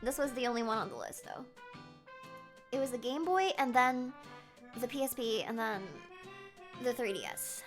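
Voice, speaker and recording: A woman in her twenties, chatty and rambling with quick laughs, reading chat questions aloud on a casual live stream. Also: on the high side, music in the background.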